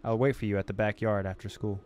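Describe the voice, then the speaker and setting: A man's voice: on the low side, steady tone, fast talker, a little mellow, natural voice. A man voicing a sly, teasing fox girl from a horror visual novel, cryptic and taunting. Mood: ominous. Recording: noticeable background noise.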